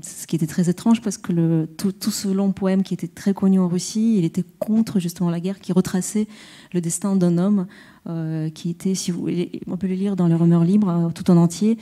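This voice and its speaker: A woman poet and translator speaking at a round-table discussion, halting and searching for her words. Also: fairly low pitch, fairly even intonation, mellow.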